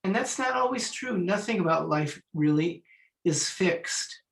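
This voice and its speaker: A man in his sixties, a Feldenkrais teacher and musician, chatting in an online panel, telling stories and clearing his throat now and then. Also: high-pitched, expressive, measured pace, a bit bright, roomy sound, slight background noise. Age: 60s. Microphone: video call.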